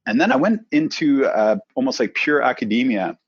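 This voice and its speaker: A middle-aged man from Canada, a presenter coach telling his career story in a relaxed, thoughtful interview. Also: medium pitch, normal speed, breathy edge, no room sound, lo-fi sound.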